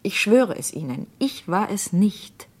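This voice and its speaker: An actress playing an anguished, probing doctor's wife in a German radio crime drama, speaking in a measured way. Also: female voice, medium pitch, sing-song, slightly rough. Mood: anxious, earnest.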